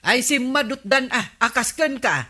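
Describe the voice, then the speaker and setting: A woman's voice: on the high side, lively intonation, moderate pace, sharp tone, breathy edge, exaggerated voice. A woman with an Ilocano accent playing the nagging wife of a village captain in a radio comedy drama.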